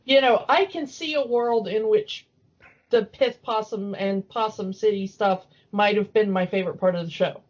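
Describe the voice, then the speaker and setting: A woman's voice: medium pitch, moderate pace, a little mellow. A woman co-hosting a podcast in a casual, chatty discussion. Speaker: podcast co-host. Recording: dull lo-fi audio.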